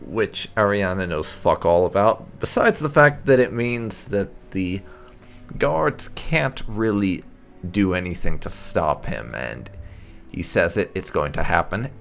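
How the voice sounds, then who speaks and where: A man hosting a let's play, talking through the game story in a wry, unhurried way. His fairly low voice has a gravelly edge and swings widely from high to low. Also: fairly bright tone. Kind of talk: casual game recap and commentary.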